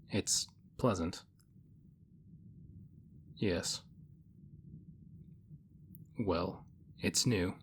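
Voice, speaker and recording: A man playing a deep-voiced, monotone stoic nerd. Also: a little hiss or hum. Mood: quietly content. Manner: deadpan, understated, measured short phrases. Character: stoic young nerdy man, reserved, quietly affectionate. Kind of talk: intimate audio roleplay, speaking to the listener.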